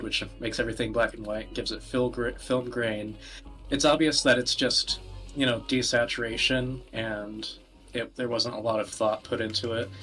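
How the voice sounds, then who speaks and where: A man giving casual podcast commentary, explaining things in a wordy, halting way. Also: mid-range voice, sharp tone. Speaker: podcast host presenting video games. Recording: music playing behind the voice. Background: background music.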